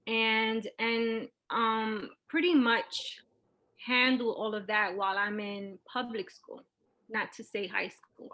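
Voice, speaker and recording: Bright-voiced New woman, candid and informal. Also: medium pitch, low-quality recording. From Puerto Rico and Brooklyn, New York. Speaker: teacher, interview guest. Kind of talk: personal story told in an interview.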